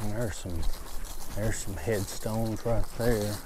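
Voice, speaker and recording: A man's voice: lowish voice, fairly even intonation, slow pace. A man chatting casually while riding an e-bike on a wooded trail, thinking aloud with restarts, recorded through a helmet mic. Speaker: vlogger on an e-bike ride. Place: outdoors on a gravel trail in the woods. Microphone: helmet-mounted. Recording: clear outdoor wind and road noise. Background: outdoor trail noise.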